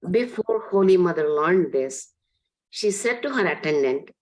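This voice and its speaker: Woman, hoarse, slightly low voice, steady reading aloud, earnest, class reader. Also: a little slow, low-quality recording. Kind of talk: reading a spiritual biography aloud.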